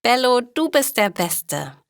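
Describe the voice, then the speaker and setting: A woman voicing an affectionate, excitable young girl in a storybook, tender and exclaiming. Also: fairly high pitch, slow, no echo, studio quality, pitched above the performer's own voice, heavily acted. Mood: joyful, devoted.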